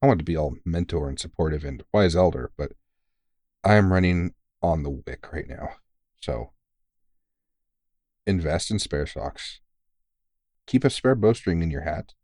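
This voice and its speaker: Man acting as seasoned vampire hunter, low voice, weary, kindly mentor, teasing and sweary, comfort audio roleplay, close dry sound. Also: expressive, a little slow, pauses often, darkish tone, no room sound, full character voice. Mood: tired, teasing. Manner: informal, teasing, reassuring, sweary. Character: tired, kindly veteran male vampire hunter mentoring a rookie.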